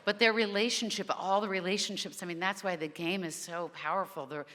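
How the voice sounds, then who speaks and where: A woman voice actor on a convention panel, talking in a rambling, heartfelt way with many restarts and a naturally low voice. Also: steady tone, fairly quick, a bit bright, in a large hall.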